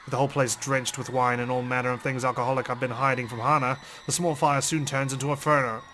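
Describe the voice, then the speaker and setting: A man's voice: medium pitch, level tone, fast, pushed and bright, a little hiss or hum. A man reading visual novel text aloud as dramatic first-person narration. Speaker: let's play host reading game text aloud.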